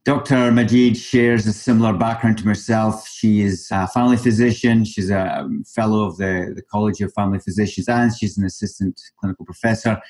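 Male podcast host, lowish voice, welcoming and emphatic. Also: fairly even intonation, fairly quick, hardly any pauses, a little mellow. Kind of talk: interview hosting.

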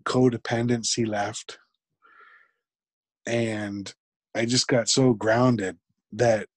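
A man, a guest in an interview, talking reflectively and haltingly, with frequent restarts. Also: medium pitch, fairly even intonation, dry recording. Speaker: podcast guest. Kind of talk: conversational interview.

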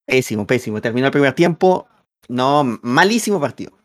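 A man's voice: mid-range voice, animated, fairly quick. A man talking casually as a football commentator during a live match. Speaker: football commentator on a group broadcast.

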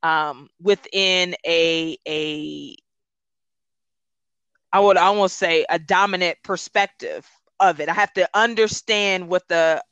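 An emphatic, passionate speaker whose first language is Gullah, talking in a bright, forceful voice. The sound is dry and close, with no room in it. They press their points firmly, then slow down to think them through. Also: medium pitch, steady tone, smooth, no echo, lo-fi sound. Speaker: guest, consultant. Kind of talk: conversational interview.

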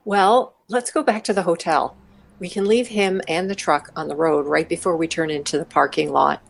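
Audio play accomplice, acted by a woman: scheming and practical, conspiratorial chat, slightly low pitch. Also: steady tone, moderate pace, gravelly. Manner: conversational, conspiratorial. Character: scheming, bold, practical female partner in crime. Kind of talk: amateur audio drama dialogue.

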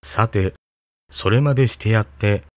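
A man reading a fairy tale aloud in a calm, even storytelling voice. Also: on the low side, unhurried, no room sound.

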